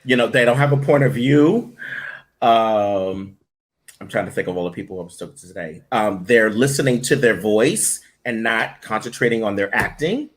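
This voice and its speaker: A man with a fairly low voice answers interview questions as a coach. He talks conversationally but with emphasis, restating points to drive them in. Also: normal speed. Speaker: interview guest, voice-over audition coach.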